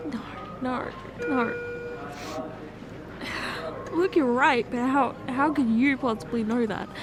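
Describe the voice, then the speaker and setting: A young woman performing an emotional roleplay confession, halting and tearful, with many sighs and restarts. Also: high-pitched, music in the background. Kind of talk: emotional ASMR fiancée roleplay monologue.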